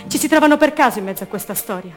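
A woman's voice: fairly high pitch, expressive, rapid-fire, soft background music, close to an everyday voice. An actress playing an anguished, defiant young woman held prisoner, in an intimate, bitterly ironic radio drama monologue. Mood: grim, tense.

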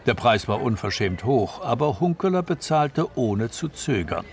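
A man narrating a radio drama from a script in a measured, descriptive manner. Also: mid-range voice, big pitch swings, vocal fry, soft background music.

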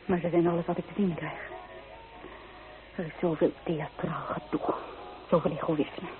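A woman's voice: lowish voice, fairly even intonation, normal speed, lightly acted. An actress playing a homesick, bitter young provincial woman in a radio play, speaking earnestly and wistfully. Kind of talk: Dutch radio play acting. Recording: thin, phone-like old radio recording.

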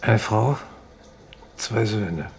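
An actor in a 1950s German radio play, playing a resigned, unbending exiled doctor who speaks in measured, grave declarations. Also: male voice, on the low side, creaky, exaggerated voice. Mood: fatalistic, unsparing. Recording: faintly noisy 1950s radio recording.

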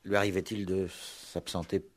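An actor playing a gruff, impatient police commissioner who questions tersely with measured pauses, in a sixties radio play. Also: male voice, low-pitched, flat delivery. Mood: formal, tactful. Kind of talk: 1960s French-language radio play acting.

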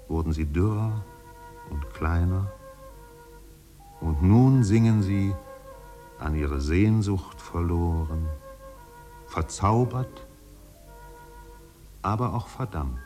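A man playing a melancholy, lyrical narrator in a 1950s German radio drama, speaking in a measured, poetic, solemn way. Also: low voice, animated, mellow, faint music behind. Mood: solemn, haunted. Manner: measured, poetic, solemn delivery with drawn-out phrases. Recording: 1950s radio studio production.

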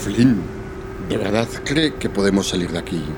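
A man's voice: lowish voice, expressive, normal speed, heavy background noise. A man acting a sentimental, hesitant travelling companion in an audio drama. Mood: doubtful. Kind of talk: Spanish audio fiction acting.

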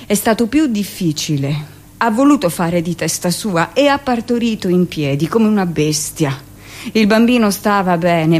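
Callous middle-aged hospital midwife. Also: female voice, fairly low pitch, darkish tone. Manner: conversational, matter-of-fact, scornful. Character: callous, self-justifying 45-year-old hospital midwife, chatty and dismissive. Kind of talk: theatrical radio monologue.

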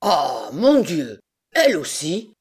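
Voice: mid-range voice, expressive, unhurried, darkish tone. A reader voicing a worried older farmer who exclaims and warns in a storybook tale. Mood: dismayed. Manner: exclaiming, anxious. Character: older male farmer, fretful and protective.

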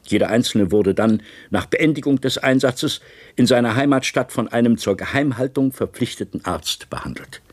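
Actor as male official at a hearing, radio play, formal report, animated pitch, dry close studio. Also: moderate pace, no echo, natural voice. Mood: formal, explaining. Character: authoritative male secret project official presenting evidence at hearing.

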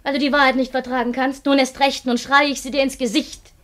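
An actress playing a bitter, scornful wife in a German radio play, reproachful and taunting in a theatrical way. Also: female voice, high-pitched, little pitch movement, brisk, a bit bright. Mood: defiant contempt. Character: bitter, scornful wife feuding with her murderous lover.

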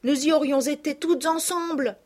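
A woman voicing excited young girls in an audiobook, with shrill, eager questions. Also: moderate pace, lightly acted.

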